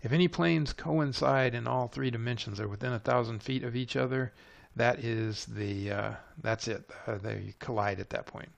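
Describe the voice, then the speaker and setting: A man's voice: mid-range voice, moderate pace, gravelly. A man giving an unscripted screencast tutorial, methodically thinking aloud as he narrates each step. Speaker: tutorial presenter.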